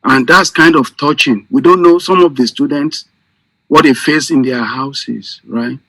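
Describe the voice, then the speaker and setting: A man's voice: medium pitch, unhurried, fairly bright tone, no room sound, low-quality recording. A man, a teacher, sharing an unscripted anecdote with colleagues.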